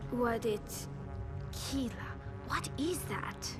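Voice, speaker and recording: A curious young female alien squadmate in a video game. Her voice is high and her delivery alert and eager. Music is clearly heard behind her. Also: animated, slow. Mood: curious, alarmed.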